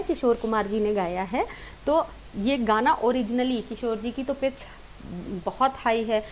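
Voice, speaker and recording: A woman giving an informal singing lesson, explaining step by step with repeated, rephrased points and English words mixed in. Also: on the high side. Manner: mixes English words into talk. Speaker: singing teacher. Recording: clear background hiss and noise.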